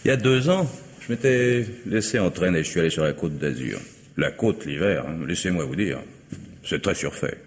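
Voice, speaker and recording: A deep-voiced, sardonic old-radio vagabond. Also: male voice, animated, moderate pace. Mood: sly. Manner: sardonic, drawling banter. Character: wry, cheeky vagabond man, worldly and scrounging. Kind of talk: vintage radio drama acting. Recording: old radio recording, long reverb, faint hiss.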